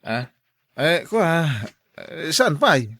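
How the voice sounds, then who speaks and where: A man's voice: on the high side, sing-song, takes it slowly, sharp tone, no echo, studio quality, full character voice. An actor playing a lovestruck young man, an eager and generous suitor, in a radio drama. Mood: earnest.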